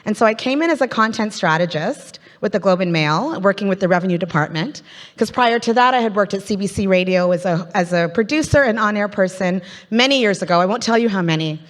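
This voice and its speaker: A woman's voice: mid-range voice, lively intonation, fairly quick. A Canadian woman hosting a live business event as MC, chatty and playful as she draws the audience in over a stage microphone. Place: large conference hall. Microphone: handheld stage microphone. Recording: reverberant conference hall.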